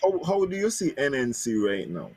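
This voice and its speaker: A man's voice: mid-range voice, huge pitch swings, moderate pace, a little mellow, slight background noise. A man hosting an interview, talking in a loose, conversational way as he sets up his questions. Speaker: host. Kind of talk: casual interview chat.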